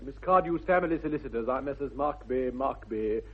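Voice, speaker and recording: An actor playing an earnest upper-class English gentleman in a 1960s radio comedy, speaking formally and precisely. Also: mid-range voice, lively intonation, moderate pace, breathy edge. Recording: tinny, band-limited vintage radio recording.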